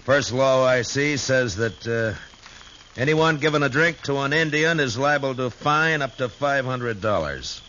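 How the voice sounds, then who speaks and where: An American actor playing a shrewd, steady frontier marshal in a 1950s radio western, speaking firmly and deliberately. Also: male voice, mid-range voice. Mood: dry, firm. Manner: dry, deliberate, firm. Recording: dull, noisy old radio recording.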